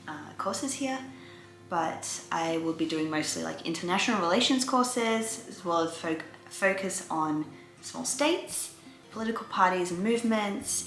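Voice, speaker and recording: A woman's voice: lowish voice, fairly bright tone. A woman, chatting casually to viewers as a vlogger giving an update. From Australia. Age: 20s. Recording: roomy echo, faint music behind.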